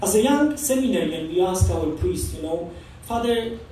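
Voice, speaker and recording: A man's voice: sits high, animated, normal speed, dark tone, slight background noise. A priest in his forties from Poland with a Polish accent, preaching an informal, chatty homily full of anecdotes and laughs. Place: large church hall. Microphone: far camera across the church. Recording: echoey church from far off.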